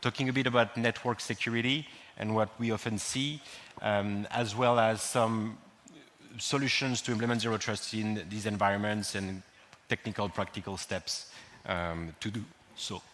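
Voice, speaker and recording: French-accented male speaker, low voice, hesitant conference delivery, reverberant hall. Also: normal speed. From France. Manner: relaxed, hesitant, frequent ums and restarts. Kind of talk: technical conference talk.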